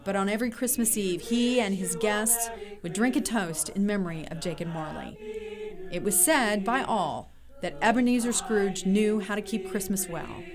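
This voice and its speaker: A woman's voice: medium pitch, normal speed. A woman narrating an audio drama in a scripted storytelling style. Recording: music playing behind the voice.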